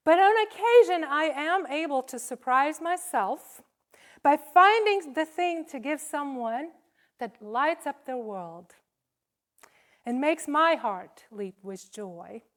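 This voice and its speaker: A woman giving a conversational sermon to a congregation, speaking as a preacher in short, paused phrases. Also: sits high, expressive.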